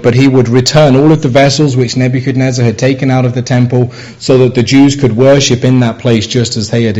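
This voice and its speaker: A man's voice: mid-range voice, a little mellow, poor audio. A middle-aged man with an English accent preaching a sermon, easygoing and conversational, with many fillers and restarts. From England. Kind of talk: sermon with personal anecdotes.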